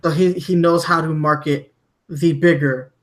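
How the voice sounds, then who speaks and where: A man from Germany hosting a podcast, discussing news conversationally with halting, repeated word starts. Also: on the high side, steady tone, fairly bright tone. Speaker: podcast host.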